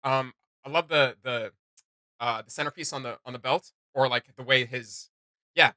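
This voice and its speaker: A man hosting a reaction video, chatting casually in an excitable, slangy way. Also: mid-range voice, moderate pace, dry recording, clean studio sound. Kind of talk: casual chat, reaction commentary. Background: music.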